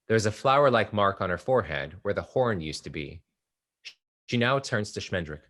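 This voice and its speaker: A man with a very low voice reads out the stage directions for a play. His delivery is plain and measured, laying out each scene without any acting. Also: animated, fairly bright tone. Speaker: narrator reading stage directions. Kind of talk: play table read.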